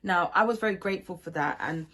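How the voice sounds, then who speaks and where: A young mother from Ghana recounts her experience in a low, earnest voice. She talks steadily and pauses on soft ums as she goes. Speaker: mother sharing her birth story. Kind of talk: personal testimony.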